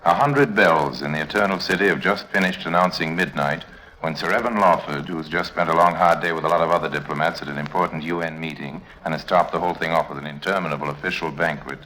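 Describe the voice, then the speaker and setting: A man's voice: rumbling low, fast, bright, forceful tone, soft background music, poor audio. An actor narrating a 1950s radio drama as a wry, knowing con man telling his own tale. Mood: wry, scene-setting. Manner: wry, storytelling. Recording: vintage 1950s radio recording.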